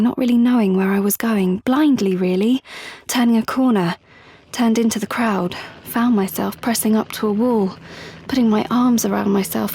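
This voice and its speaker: An actress playing an anxious, defensive young British woman in an audio drama, speaking in a breathy, hesitant way. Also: fairly high pitch, darkish tone, slight background noise. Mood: anxious, confiding. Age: young adult. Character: anxious, defensive young pregnant woman, confiding yet pushy. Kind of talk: audio drama monologue and dialogue.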